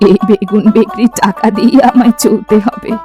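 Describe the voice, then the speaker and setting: A woman voicing a poor, humble old village woman who sells eggplants, meek and soft-spoken in a cartoon. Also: mid-range voice, steady tone, brisk, natural voice. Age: older. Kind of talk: cartoon voice acting. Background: background music.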